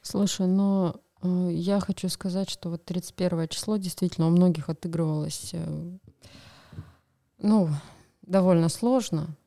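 A woman co-hosting a relaxed podcast, speaking in a low, gravelly voice. She interprets cards thoughtfully and backs up her partner with quick agreement. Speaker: podcast co-host, tarot reader. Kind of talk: casual podcast chat.

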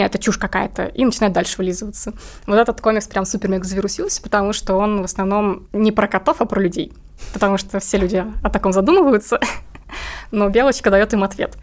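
Female interviewee, medium pitch, informal conversational storyteller. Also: fast. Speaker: interviewed comic artist and cat owner.

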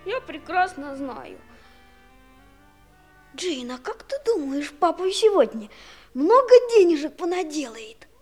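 High-voiced whining little boy. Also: sing-song, faint music behind, full character voice. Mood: bored, whining. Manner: whiny, naive, drawn-out. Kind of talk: 1960s radio play acting.

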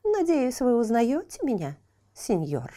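Boiling water spirit, female and vengeful, slow and reproachful, read by a woman. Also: on the high side, wildly swooping pitch, dark tone. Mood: mocking.

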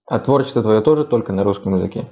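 Low, mellow male host, lo-fi sound. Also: racing. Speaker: podcast host. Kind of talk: casual conversational interviewing. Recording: dull lo-fi sound.

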